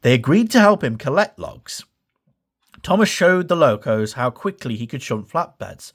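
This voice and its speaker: A man's voice: medium pitch, big pitch swings, moderate pace. A man reading a children's story as a scripted storybook narration. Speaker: story reader.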